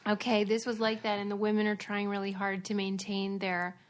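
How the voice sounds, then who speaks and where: A relaxed woman co-hosting a podcast, with a voice of medium pitch. She is easygoing and laughs a lot, and she keeps the talk going with prompting questions. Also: a little fast. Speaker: podcast co-host. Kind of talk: casual chat.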